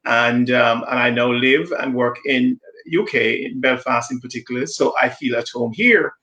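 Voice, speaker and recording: Gravelly-voiced man from Jamaica, speaking thoughtfully. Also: medium pitch, sharp tone, echoey room. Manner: thoughtful, halting with many restarts. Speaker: diversity consultant guest. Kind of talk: conversational live-stream discussion.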